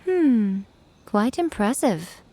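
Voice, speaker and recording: A woman voicing a sly, imperious fox-spirit shrine priestess who talks in a smooth, teasing, condescending way, in a video game. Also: on the high side, very animated, slow pace, dark tone, a little hiss or hum.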